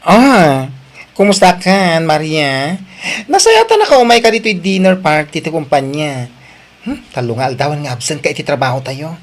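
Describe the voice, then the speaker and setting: A man's voice: on the high side, very animated, normal speed. Give me a man playing a swoopy, breezy Ilocano coworker. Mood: friendly, teasing. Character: breezy, easygoing, teasing office coworker. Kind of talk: Ilocano radio-style drama acting.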